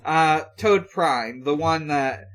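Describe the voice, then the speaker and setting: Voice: medium pitch, slow pace, fairly bright tone. A podcast host chatting casually, thinking aloud in a hesitant way. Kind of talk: casual chat about fan animation and games.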